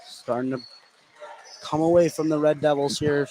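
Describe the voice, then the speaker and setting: A man's voice: a little high, fairly even intonation, moderate pace, mellow. Man giving basketball color commentary, noisy gym. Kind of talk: conversational live basketball analysis. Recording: noisy live gym broadcast.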